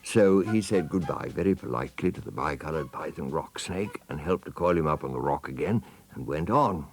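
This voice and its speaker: A man's voice: a bass voice, animated, a little fast, faint music behind. A man narrating a children's storybook theatrically, savouring the words and addressing the listener directly. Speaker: audiobook narrator.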